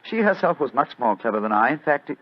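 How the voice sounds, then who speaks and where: An actor playing an urbane older British gentleman detective, wry and gallant, in radio drama style, with a husky voice and courteous, hesitant delivery. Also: medium pitch, big pitch swings. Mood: fond, amused. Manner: courteous, hesitant pauses, amused chuckles. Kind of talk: old-time radio drama acting. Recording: dull, lo-fi radio drama sound.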